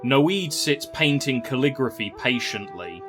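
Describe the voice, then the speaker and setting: A man narrates a fantasy tale at an unhurried pace, in a measured literary manner. His voice sits at an average male pitch, and music plays clearly behind him. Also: expressive.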